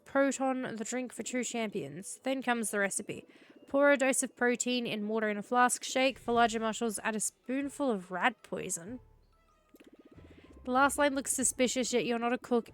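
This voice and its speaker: A woman's voice: a little high, a bit bright. A woman streamer reading game dialogue aloud while playing, a bit sniffly from a cold, talking into a headset. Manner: chatty, reads quest text in character.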